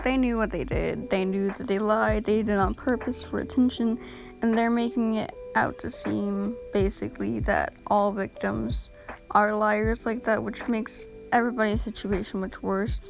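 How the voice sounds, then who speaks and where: A woman with a fairly high voice talks through a drama commentary voiceover, tired and a touch raspy from the early hour. She is blunt and exasperated, filling her speech with casual fillers, while clear music plays underneath. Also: steady tone, normal speed. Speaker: commentary YouTuber. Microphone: home voiceover mic.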